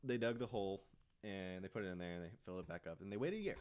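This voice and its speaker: Man, lowish pitch, casual storyteller, conversational, podcast panelist, checks in with listeners. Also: rapid-fire, very noisy.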